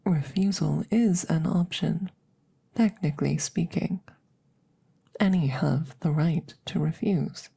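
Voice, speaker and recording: A low, very slow female alien played by a woman speaks in a soft, often whispered ASMR delivery. Her tone is mellow and breathy, with some gravel in it. She is formal and clinical, a curious examiner choosing each word with care. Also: full character voice. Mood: clinical, hushed. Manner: formal, clinical, precise, measured phrasing. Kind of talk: ASMR audio roleplay.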